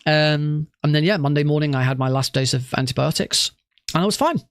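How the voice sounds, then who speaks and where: A man's voice: on the high side, fairly quick, dry recording, clean studio sound. A man with a cough and a congested nose, chatting casually to stream viewers in a rambling way with many restarts. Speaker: streamer and marathon organiser.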